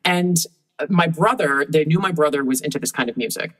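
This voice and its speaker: A woman with a deep voice, a guest telling lively anecdotes in casual conversation and laughing often. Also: a little fast. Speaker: guest puppeteer.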